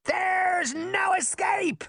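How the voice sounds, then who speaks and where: High, cocky trickster fairy boy. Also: big pitch swings, unhurried, no echo, clean studio sound, heavily acted. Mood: gleeful, menacing. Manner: boastful, taunting, loud. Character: cocky mischievous immortal fairy boy, gleefully rebellious trickster. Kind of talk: children's fantasy audiobook character.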